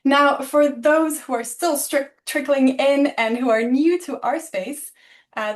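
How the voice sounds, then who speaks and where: A woman hosting an online webinar on a video call, introducing the speakers in a friendly, slightly halting way and laughing at her own slips. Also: a little high. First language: not German. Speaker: webinar host.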